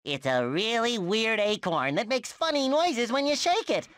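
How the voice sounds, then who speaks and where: A man's voice: extremely high pitch, sing-song, moderate pace, fairly bright tone, dry recording, clean studio sound, full character voice. A man voicing a naive, eager sea sponge in a cartoon video game, earnest, childlike and exaggerated. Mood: sheepish, eager. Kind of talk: animated video game voice acting. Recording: noticeable background noise.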